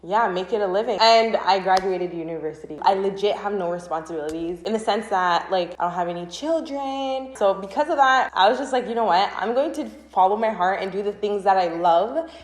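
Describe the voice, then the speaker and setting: A young woman from Canada in her twenties chatting casually to camera as a vlogger, bubbly and expressive. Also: medium pitch, a little fast, few pauses. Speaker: vlogger and channel host. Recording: roomy, echoing room sound.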